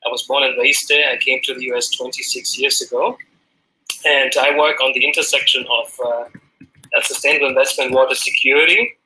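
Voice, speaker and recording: A man with a German accent speaks as a guest on a remote call. His voice is bright and sharp but stays quite level in pitch. He talks earnestly, with many pauses and restarts. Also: flat delivery, slight background noise, low-quality recording. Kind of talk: interview answers. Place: Los Angeles, remote call.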